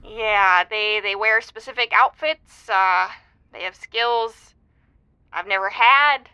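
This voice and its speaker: A woman's voice: medium pitch, slow, pushed and bright, clean tone, muffled recording. A woman playing a chirpy, aggrieved married meteorologist who calls a radio show in an improvised audio comedy, gushing and hesitant. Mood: awkward, wry. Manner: gushing, giggly, hesitant phrasing.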